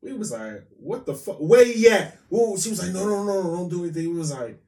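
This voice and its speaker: A man's voice: high-pitched, lively intonation, brisk, warm tone. An American man co-hosting a podcast, bantering and telling stories in a blunt, slangy, profane and joking way. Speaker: podcast co-host. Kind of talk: casual storytelling banter. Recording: roomy echo, distant from mic.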